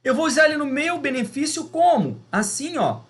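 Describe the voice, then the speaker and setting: A male teacher with a Brazilian Portuguese accent and a high-set voice. His intonation swings widely as he explains things step by step, stressing key terms and checking in with rhetorical questions. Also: very animated, moderate pace. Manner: didactic, emphatic, with rhetorical questions and check-ins. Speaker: biology teacher. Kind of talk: video lesson, explaining step by step.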